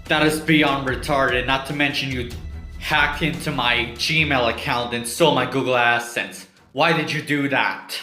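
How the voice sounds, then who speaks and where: A man's voice: mid-range voice, a little slow, pushed and bright. A man voicing a brash, vengeful, foul-mouthed young cartoon villain with a taunting, exaggerated delivery in a fan parody dub. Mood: indignant. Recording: roomy echo, faint music behind.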